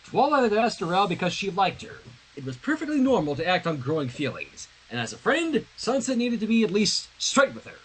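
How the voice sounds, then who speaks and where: A man's voice: high voice, wildly swooping pitch, fairly quick, breathy edge, low-quality recording. A man reading fan fiction aloud on a livestream, narrating expressively with casual asides.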